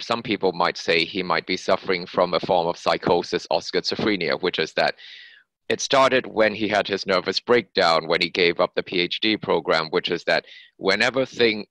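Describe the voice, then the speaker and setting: A man's voice: low voice, bright, forceful tone, dry recording, poor audio. A man being interviewed as an author, explaining his fiction conversationally in long, run-on sentences.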